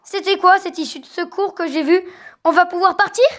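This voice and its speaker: A woman's voice: extremely high pitch, fairly even intonation, a little fast, a bit bright. A woman voicing an anxious, bewildered young female newcomer in a cartoon fan dub, hesitant and flustered. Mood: confused.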